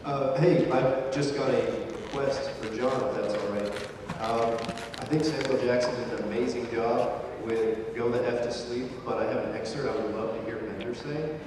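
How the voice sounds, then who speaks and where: A man's voice: mid-range voice, steady tone, normal speed. Audience guy asking for something casually, mid voice, super echoey. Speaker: audience member making a request. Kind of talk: casual spoken request. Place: large convention hall. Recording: echoey noisy hall, distant.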